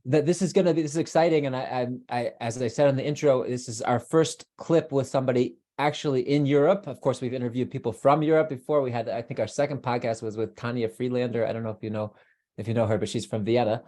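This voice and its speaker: A man's voice: mid-range voice, fairly even intonation, quick pace, warm tone. A man hosting a podcast, chatty and upbeat, who often restarts his sentences. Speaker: podcast host. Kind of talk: podcast interview.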